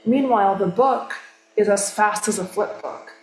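A woman's voice: mid-range voice, normal speed, darkish tone, slight background noise. Thoughtful woman giving an artist talk. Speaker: artist presenting her work. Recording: echoey room.

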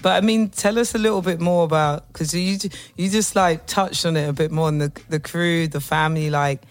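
Man, radio host, fairly high voice, playful, enthusiastic, filler-heavy, faint background music. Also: steady tone, a little fast. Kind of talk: casual radio interview.

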